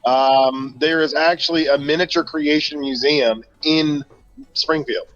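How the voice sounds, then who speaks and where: Guy from argentina chatting from his car while he drives, dry and measured, kinda muffled lo-fi sound. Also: on the high side, steady tone, fairly bright tone, a little hiss or hum. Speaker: guest. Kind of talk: casual chat. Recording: dull lo-fi sound.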